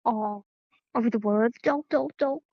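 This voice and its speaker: A woman's voice: on the high side, moderate pace, breathy edge. A young woman playing a teasing, stubborn girlfriend in an acted phone conversation, insistent and often repeating herself. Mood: playful, sulky. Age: young adult. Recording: dull, lo-fi phone call recording.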